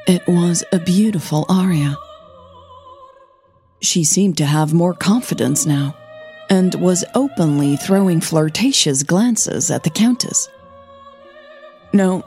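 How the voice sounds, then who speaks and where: Slow, mellow woman narrating a story. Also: on the low side, faint music behind. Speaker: narrator of fiction audio drama.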